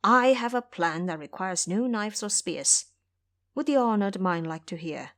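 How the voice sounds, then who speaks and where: A woman's voice: medium pitch, expressive, unhurried, a bit bright. A woman playing a cunning, calculating junior male monk who speaks persuasively in an audio drama.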